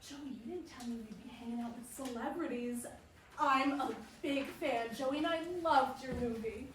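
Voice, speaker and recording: A woman plays a friendly young girlfriend in a stage drama. Her voice is high. She is heard from a distance in a very echoey, noisy space. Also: moderate pace, a bit bright. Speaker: stage-directions reader and cast member. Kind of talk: staged reading of a play.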